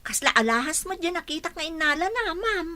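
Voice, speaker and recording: Gossipy, deferential Ilocano maid, played by a woman for radio comedy drama; very high, forward, bright tone. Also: sing-song, brisk, heavily acted. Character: deferential, gossipy young female housemaid.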